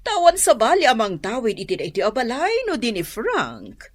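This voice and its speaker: Effusive, lively Filipino mother-in-law. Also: a little high, normal speed. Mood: warm, motherly. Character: older mother-in-law, doting matriarch pleased with her children's weddings. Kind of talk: radio drama acting. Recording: music bed under the voice.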